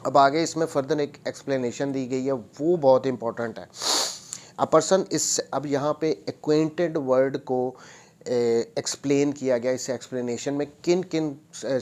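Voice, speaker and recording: Male law lecturer, Pakistani accent, medium pitch, slow deliberate delivery, reads aloud and then explains point by point. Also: a little mellow. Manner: deliberate, repetitive step-by-step explaining.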